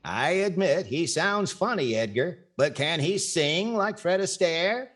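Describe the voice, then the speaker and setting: A man's voice: on the high side, lively intonation, unhurried, a bit bright. A man playing a wry, skeptical radio comedy star in 1940s style, with theatrical timing.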